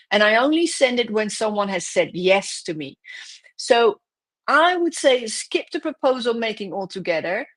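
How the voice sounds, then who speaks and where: A woman giving direct, emphatic business advice in a solo talk, correcting herself now and then and losing her voice. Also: mid-range voice. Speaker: host, business coach.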